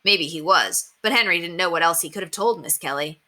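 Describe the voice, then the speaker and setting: A woman reading a children's book aloud in an expressive storytelling style, acting out the dialogue in an animated way. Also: lowish voice, fairly quick. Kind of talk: expressive read-aloud narration.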